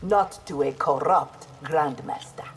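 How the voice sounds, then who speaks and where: A proud armoured ninja speaks low with a Nigerian accent. The lines are formal, measured and defiant, in video game style, over slight noise. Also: female voice. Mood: stern, defiant. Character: proud, principled armoured female ninja warrior. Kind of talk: video game fight dialogue.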